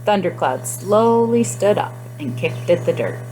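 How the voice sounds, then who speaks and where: Animated woman teaching young children. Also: mid-range voice, a little slow, music in the background, rough recording. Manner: expressive, simple phrasing addressed to children. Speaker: classroom nutrition teacher. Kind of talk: guided story reading and lesson for young children.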